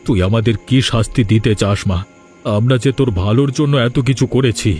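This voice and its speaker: A man plays a self-justifying village father in a cartoon melodrama. He pleads and cajoles in a mid-pitched voice, over faint music. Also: a little fast, a little mellow, close to an everyday voice. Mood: placating.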